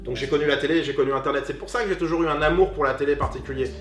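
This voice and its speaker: A man in his thirties chats as an interview guest, lively and talkative, circling back to restart his thoughts. His voice sits a little high, and the room around him rings noticeably. Faint music plays underneath. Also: very fast. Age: 30s. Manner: animated, talkative, frequent restarts and fillers. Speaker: guest, comedian. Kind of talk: casual interview conversation. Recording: echoey room with music behind.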